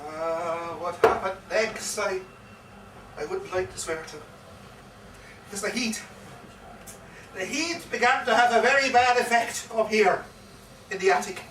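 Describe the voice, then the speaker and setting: An actor playing an excitable Irish pub yarn-spinner, theatrically repeating himself with relish in a live comic stage monologue. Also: male voice, sits high, unhurried, pauses often. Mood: rambling, confiding. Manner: theatrical, repeats phrases with relish and dramatic emphasis. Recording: echoey live pub recording, distant mic, faint noise.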